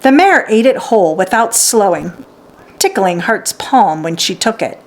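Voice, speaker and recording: A woman reading a children's story aloud as scripted, expressive narration. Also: medium pitch, a little slow.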